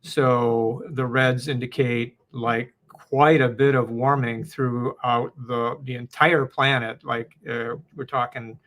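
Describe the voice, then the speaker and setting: A man's voice: mid-range voice, level tone, slow pace, few pauses, a bit bright. A man giving a public lecture, hesitant and full of fillers.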